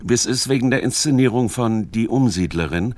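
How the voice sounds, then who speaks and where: A man's voice: mid-range voice, normal speed, a little mellow, no echo. A man reading scripted narration for a radio documentary. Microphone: close studio microphone.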